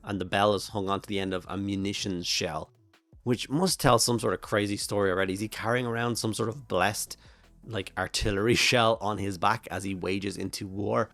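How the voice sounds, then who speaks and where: A hoarse Irish man with a flu-worn voice talks casually through a miniature painting tutorial, rambling and thinking aloud with plenty of fillers. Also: on the low side, fairly even intonation, normal speed, fairly bright tone, dry recording, faint music behind. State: hoarse from a flu and throat infection. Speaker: miniature painting channel host.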